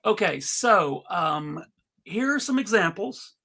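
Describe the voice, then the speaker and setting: A man presenting an informal live script reading, halting and amused, with frequent asides. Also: high voice, animated, vocal fry, bright, forceful tone. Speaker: panel reader and presenter.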